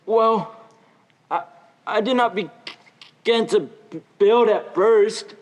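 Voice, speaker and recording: A student actor playing a gentle, earnest, deformed young man who stammers and speaks haltingly in a stage play. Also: medium pitch, lightly acted. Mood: reverent, earnest. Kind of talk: high school stage play acting. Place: school theater stage. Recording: echoey theater hall.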